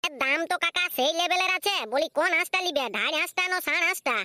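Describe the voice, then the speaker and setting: A woman plays a village duck seller in a comedy cartoon, refusing to budge on price. The Purulia Bengali accent comes in brisk, insistent haggling, sharp in tone and recorded dry. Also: very high voice, dry recording. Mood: matter-of-fact.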